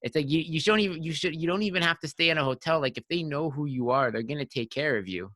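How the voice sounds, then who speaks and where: A man's voice: fairly high pitch, fast, sharp tone, no echo. A man of about thirty hosting a podcast, chatting casually and rambling with many restarts and likes. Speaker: podcast host. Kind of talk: casual interview chat.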